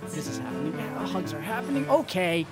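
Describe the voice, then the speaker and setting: An actor playing an awkward, wounded young man in a naturalistic audio drama, halting and hesitant between deadpan jokes. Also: high-pitched. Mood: resigned. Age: young adult. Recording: loud music behind the voice.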